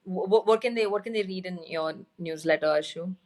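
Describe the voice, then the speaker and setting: A woman's voice: lowish voice, brisk, darkish tone. A woman hosting a podcast interview, asking informal, loosely phrased questions in a casual, chatty style.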